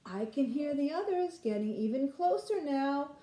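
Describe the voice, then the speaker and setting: A woman voicing a sly, hungry male fox in a storybook, smooth and coaxing. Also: high voice, animated, moderate pace, mellow, roomy sound, voice pushed up.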